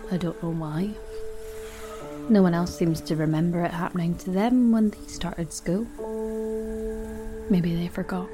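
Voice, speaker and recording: Loud new-age music surrounds a small, overlooked young woman in an audio drama. She reflects wistfully in a slightly low voice, very slowly, with hesitant pauses and an understated manner.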